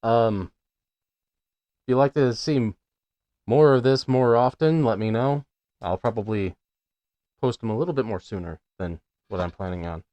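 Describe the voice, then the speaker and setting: A man's voice: mid-range voice, moderate pace, long pauses, warm tone, dry recording. A man hosting a let's play, giving casual, musing commentary as he goes.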